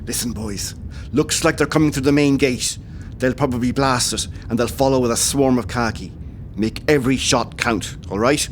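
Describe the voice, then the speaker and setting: Officer, male actor: steady, reassuring, medium pitch, audio drama with faint rumble. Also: normal speed, natural voice. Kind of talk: audio play acting.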